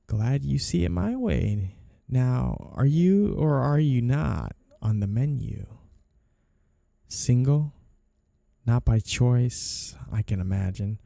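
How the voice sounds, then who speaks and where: Man playing a very dark-voiced, sly mafia boss. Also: lowish voice, gravelly, dry recording, studio quality, heavily acted. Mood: flirtatious, teasing. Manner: teasing, insinuating, mock-innocent. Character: smooth flirtatious male mafia boss, sly and two-faced. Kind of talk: ASMR roleplay, noir period-piece monologue to a listener.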